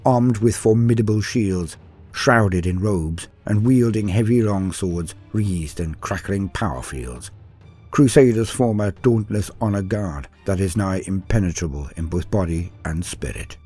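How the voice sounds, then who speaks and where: A man's voice: deep voice, expressive, a little slow, mellow, slightly rough, faint music behind. A middle-aged man narrating scripted lore in a grandiose, theatrical storyteller's manner. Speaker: lore channel host.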